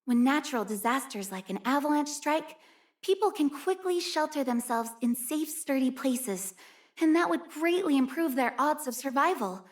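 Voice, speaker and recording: Woman as a high-voiced, earnest young rescue heroine. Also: normal speed, close to an everyday voice. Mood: sincere, informative. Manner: earnest, caring, polite. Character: earnest, gentle young female snow-rescue team member. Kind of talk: video game character voice lines.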